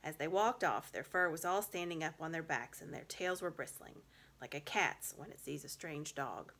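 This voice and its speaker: A woman in her thirties reads a story aloud. Her voice is bright and slightly low, with expressive narration, recorded at home on a device in front of her. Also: normal speed. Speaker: storybook reader. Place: small room at home.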